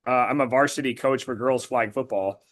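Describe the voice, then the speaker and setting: Hoarse man answering casually. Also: mid-range voice, moderate pace. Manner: rambling, many fillers and restarts. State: voice partly lost, a bit hoarse. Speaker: blood analysis practitioner and girls flag football coach.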